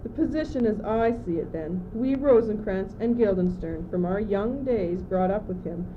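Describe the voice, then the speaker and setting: Dull noisy recording, teenage girl acting anxious naive young courtier, high voice, earnest, school radio drama. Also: moderate pace, natural voice. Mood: earnest summing up. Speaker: student actor. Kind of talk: amateur radio play. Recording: dull old tape, loud background noise.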